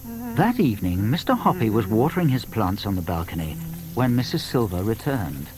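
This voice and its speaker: A British man narrating a children's story in an expressive, scripted storytelling style. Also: fairly low pitch, normal speed, low-quality recording.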